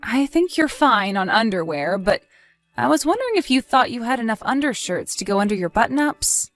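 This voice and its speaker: A woman's voice: on the high side, lively intonation, brisk, a bit bright, soft background music. A woman playing a caring, cautious and practical wife, fussing conversationally in an audio drama.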